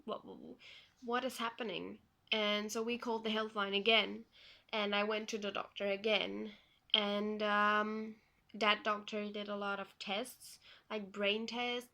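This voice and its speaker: A young woman from Belgium, a non-native English speaker, calmly retelling a personal story for a vlog with frequent pauses and ums. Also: medium pitch. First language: not English. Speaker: vlogger.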